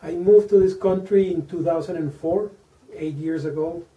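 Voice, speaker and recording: A man in his forties from Venezuela with a Venezuelan Spanish accent, a native Spanish speaker, answering interview questions slowly, with many fillers and repeated words. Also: fairly high pitch, phone-quality sound. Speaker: interview guest, engineer. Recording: echoey room, mic at a distance.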